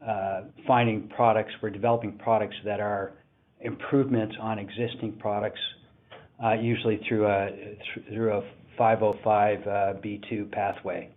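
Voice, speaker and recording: Low-voiced man on a tinny phone line. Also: little pitch movement. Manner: halting, measured, frequent pauses. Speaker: company executive on an earnings call. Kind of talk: unscripted answers on an investor call.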